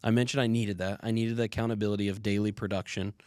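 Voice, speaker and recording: A man's voice: on the low side, flat delivery, fast, a little mellow, clear, resonant tone, dry recording, clean studio sound. A young adult man co-hosting a podcast, telling stories in a casual, earnest and reflective way. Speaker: podcast co-host.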